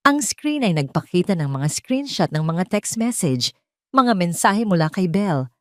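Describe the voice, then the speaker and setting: A woman's voice: on the low side, big pitch swings, moderate pace, dry recording, studio quality. A woman with a Filipino accent narrating a scripted dramatic story.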